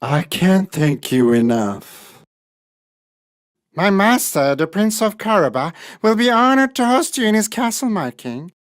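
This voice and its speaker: A woman's voice: mid-range voice, expressive, moderate pace, hardly any pauses, close to an everyday voice. A woman voicing a clever, confident male cat in a children's fairy tale cartoon, speaking persuasively and with courtly manners. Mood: courteous, sly. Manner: persuasive, deferential to royalty.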